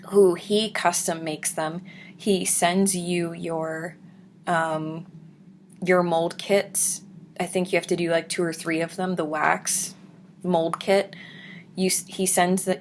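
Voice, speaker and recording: A young woman with a deep, raspy voice, chatting casually to her viewers at home and slipping into soft whispers. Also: slight background noise. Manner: soft-spoken, drifts into whispers. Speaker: vlogger. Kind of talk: casual chat reading viewer comments.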